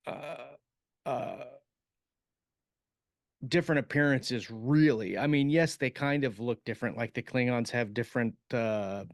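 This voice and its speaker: A man in a casual podcast discussion about the craft of writing, recorded close and dry. His voice sits at a middle pitch. He thinks aloud as he goes, halting and searching for the right word. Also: no room sound. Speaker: podcast host and science fiction author.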